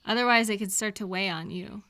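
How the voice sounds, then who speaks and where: A woman acting a caring, cheerful friend in a scripted roleplay audio, talking gently and attentively to the listener. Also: mid-range voice, slight background noise. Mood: gentle, concerned. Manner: gentle, unhurried, attentive questioning with pauses for replies.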